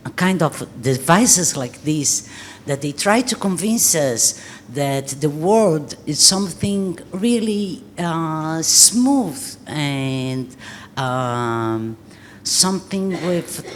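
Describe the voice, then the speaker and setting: A woman, a Portuguese speaker, giving an earnest panel talk in English with many pauses. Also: sits low, slight background noise. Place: art gallery talk before an audience.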